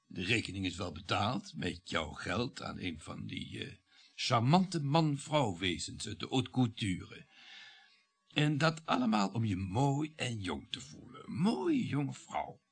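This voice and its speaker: Husky, sardonic surgeon husband in radio play. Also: male voice, lowish voice, sing-song, slow, exaggerated voice. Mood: sardonic, reminiscing. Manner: sardonic, needling. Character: sardonic, irritable middle-aged surgeon and husband.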